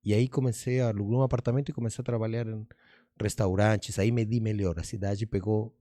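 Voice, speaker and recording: A man's voice: fairly low pitch, fairly even intonation, fairly quick, warm tone, no echo, studio quality. A middle-aged man with a Spanish accent, a native Spanish speaker from Argentina, telling stories as a magician and comedian in a casual interview, rambling and restarting, slipping in Spanish words.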